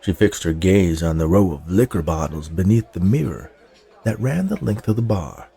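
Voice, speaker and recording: A man's voice: low voice, lively intonation, moderate pace, gravelly, mellow, slightly rough. A man hosting a fiction podcast, interviewing guests and narrating stories. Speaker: podcast host and story narrator.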